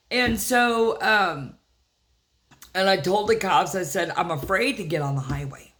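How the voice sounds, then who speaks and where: Older woman vlogger, deep rough voice, rambling casual storytelling, lots of fillers. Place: inside a house.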